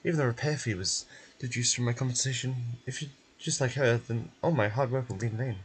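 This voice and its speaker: A man's voice: mid-range voice, a little fast, slight background noise, lightly acted. A man voicing a chatty game research technician who explains things and grumbles but helps. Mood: explaining, grumbling. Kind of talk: game character voice acting.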